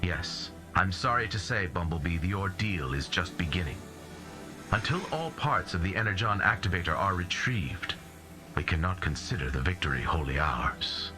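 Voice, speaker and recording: An actor playing a noble, solemn giant robot commander in a video game, speaking in a grave, formal, measured way. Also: male voice, deep voice, expressive, music in the background. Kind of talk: scripted video game cutscene acting.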